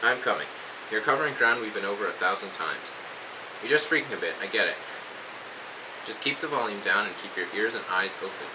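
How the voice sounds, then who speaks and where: A man's voice: fairly low pitch, fairly quick, lightly acted. A man playing a weary, cautious soldier in a post-apocalyptic audio drama, speaking in terse military radio talk. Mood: tense, urgent. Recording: steady hiss, noisy radio-style recording.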